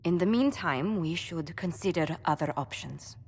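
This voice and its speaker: A woman playing a calm, shrewd spymaster in a video game, speaking with composed deliberation. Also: lowish voice, normal speed, long reverb tail, slight background noise. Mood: persuasive.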